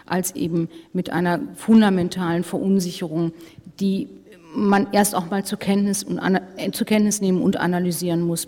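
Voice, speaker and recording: Brisk woman moderating in a hall. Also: medium pitch. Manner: brisk, wry, hurries speakers along. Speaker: panel moderator. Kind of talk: moderating a live panel discussion. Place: conference stage. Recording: reverberant hall.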